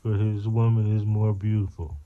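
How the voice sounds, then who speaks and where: A man's voice: on the low side, flat delivery, moderate pace. A man, the vlogger's partner, chatting playfully at home and coaxing the unborn baby to come out. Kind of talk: casual home chat. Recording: dull lo-fi sound.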